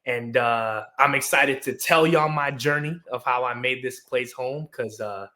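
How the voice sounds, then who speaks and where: A man's voice: medium pitch, unhurried, sharp tone. A man in his twenties from the United States, a guest telling his story in an easygoing way with lots of ums and laughs. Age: 20s. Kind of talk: conversational storytelling.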